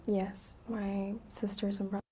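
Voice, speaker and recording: An eighteen-year-old woman from Iraq, testifying as a witness in short, quiet, soft-spoken answers. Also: medium pitch, level tone, measured pace, husky. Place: courtroom witness stand.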